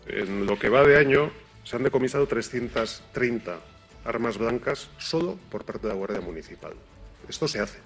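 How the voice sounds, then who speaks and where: A man's voice: mid-range voice, expressive, moderate pace, a bit bright, soft background music. A man, a mayor, giving a firm and emphatic statement to the press. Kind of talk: recorded statement.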